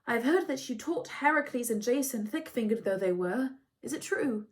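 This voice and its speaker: An eager young boy prince, as a woman reader puts him on, earnest and direct in a storybook telling. His voice lifts a bit higher than the narration around him. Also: expressive, normal speed, close to an everyday voice.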